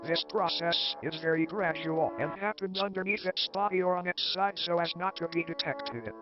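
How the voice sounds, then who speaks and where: A man's voice: on the high side, moderate pace. A man delivering scripted narration in the style of a horror documentary. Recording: dull lo-fi audio under loud music. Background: loud background music.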